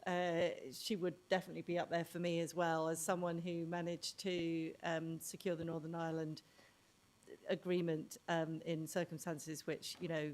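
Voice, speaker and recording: A woman panelist answering in a measured, thoughtful way. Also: low voice, level tone, a little mellow.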